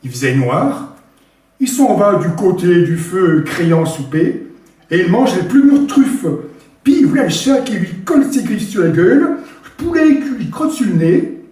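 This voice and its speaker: Hoarse man telling a folk tale. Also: high-pitched, a little slow. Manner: lively, animated storytelling. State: hoarse, damaged voice. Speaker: storyteller at an open stage. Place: live open-mic stage in a hall. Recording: very echoey hall from far off, faint guitar.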